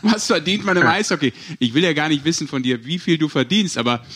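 A man's voice: a little high, lively intonation, fast talker, fairly bright tone, breathy edge. A man hosting a sports podcast, chatting casually in a rambling, colloquial way.

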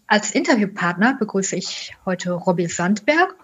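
Female interviewer, mid-pitched, conversational podcast hosting, muffled lo-fi sound. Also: very animated, normal speed, husky. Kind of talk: relaxed conversational podcast introduction. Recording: dull, band-limited sound.

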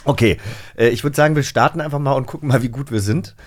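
A man's voice: medium pitch, fast talker, no echo, studio quality. A man hosting a casual podcast quiz, chatty and self-mocking, with frequent fillers and restarts. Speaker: podcast host. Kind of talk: casual chat, quiz game.

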